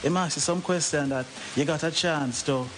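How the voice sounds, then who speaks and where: A man's voice: fairly high pitch, normal speed. A man with a Jamaican accent interviewing a musician for radio, probing and often restarting his questions. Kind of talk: casual interview chat. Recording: noisy old interview tape.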